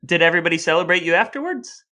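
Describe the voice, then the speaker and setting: A man with an American accent co-hosting a podcast, telling stories in an animated, conversational way. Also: high voice, rapid-fire. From United States. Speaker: podcast co-host. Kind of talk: conversational storytelling and discussion.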